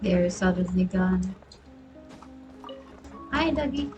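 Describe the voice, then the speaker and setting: A woman's voice: fairly low pitch, lively intonation, slow pace, over music. A woman streamer giving casual, sympathetic reactions to a video game in short remarks.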